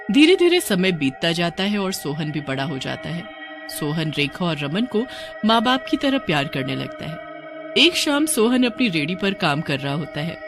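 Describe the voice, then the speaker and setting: Animated woman narrating a story. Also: on the low side. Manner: expressive storytelling.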